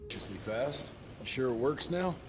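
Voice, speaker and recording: A man's voice: mid-range voice, animated, moderate pace, over music, close to an everyday voice. A man playing a curt, sarcastic frontier monster hunter. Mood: sardonic. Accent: American. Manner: curt, sarcastic, coarse-mouthed. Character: gruff, sardonic monster-hunting agent of the Old West. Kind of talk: video game cutscene acting.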